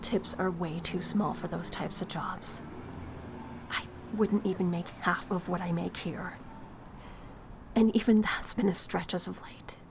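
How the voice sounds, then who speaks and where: A woman's voice: on the low side, steady tone, a little slow, gravelly. An actress playing a tough, protective, world-weary young woman in an audio drama, blunt in manner, with faint noise behind her. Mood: weary, bitter.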